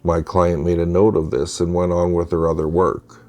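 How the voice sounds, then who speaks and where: A man narrating spooky true stories in a scripted, measured and deliberate storytelling style. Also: sits low, level tone, a little mellow.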